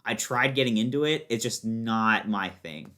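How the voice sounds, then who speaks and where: A man's voice: on the low side, moderate pace, pushed and bright. A young American man chatting casually as a podcast guest, explaining things in an informal, rambling way full of fillers. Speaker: podcast guest, fighting game player.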